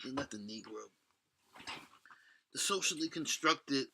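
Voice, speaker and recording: A man's voice: mid-range voice, lively intonation, takes it slowly, vocal fry, bright, forceful tone, slight background noise. A middle-aged man with a lisp delivers a rambling, blunt opinion rant, cursing and breaking off mid-thought. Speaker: solo video blogger.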